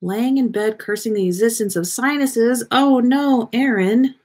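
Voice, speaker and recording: A woman's voice: on the high side, measured pace, darkish tone, breathy edge. A woman hosting a casual livestream, chatting with viewers in a playful, rambling way as she thinks aloud. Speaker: livestream podcast host. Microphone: webcam livestream setup.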